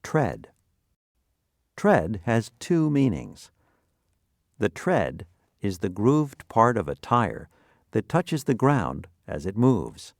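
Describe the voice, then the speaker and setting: Slow, gravelly man reading word definitions. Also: on the low side, huge pitch swings, no echo, studio quality. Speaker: vocabulary narrator. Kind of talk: scripted dictionary-style lesson narration.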